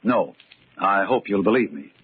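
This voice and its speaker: An actor playing a calm, clever man accused of murder who explains his reasoning in a measured way, in 1940s radio acting on a muffled old radio recording. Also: fairly low pitch, slight background noise, natural voice.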